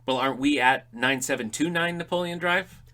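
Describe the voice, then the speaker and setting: A man's voice: a little high, moderate pace, pushed and bright. A man playing a grumpy, dryly sarcastic middle-aged father in an audio drama comedy. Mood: puzzled. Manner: dry, sarcastic, exasperated.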